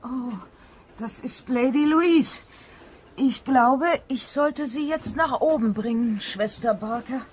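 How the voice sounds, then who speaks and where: A woman's voice: sits high, slow, background noise. A woman playing a gossipy country-house housekeeper in a German mystery radio play, telling things in a confiding, dramatic way. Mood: reassuring.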